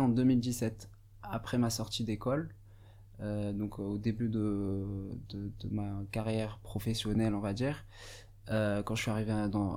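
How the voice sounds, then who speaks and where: Hesitant Moroccan-born man, lowish voice. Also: warm tone. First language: Arabic. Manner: hesitant, thoughtful, many fillers and restarts. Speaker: radio guest. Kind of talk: casual radio interview. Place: radio studio.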